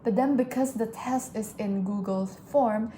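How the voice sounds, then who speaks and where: A woman's voice: on the high side, unhurried, dark tone, a little hiss or hum. A young woman vlogging in a casual, chatty way, explaining things with lots of "like" fillers. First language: English. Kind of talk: casual vlog diary.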